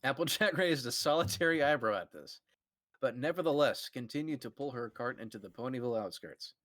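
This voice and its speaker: A man's voice: medium pitch, animated, brisk, fairly bright tone, no room sound. A man hosting a comedic fanfic reading, narrating and laughing often. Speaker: host and narrator.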